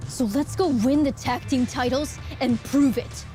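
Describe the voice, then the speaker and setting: Fierce, high-voiced young woman wrestler. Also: a little slow, warm tone, faint music behind. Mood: firm, impassioned. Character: fierce, principled young woman pro wrestler, defiant and resolute. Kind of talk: scripted video game cutscene acting.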